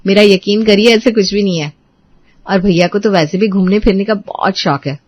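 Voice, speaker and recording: A woman's voice: medium pitch, moderate pace, a little mellow, no echo, natural voice. A woman playing a polite young married daughter-in-law in a Hindi family drama, earnest and deferential, on a slightly muffled track. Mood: reassuring.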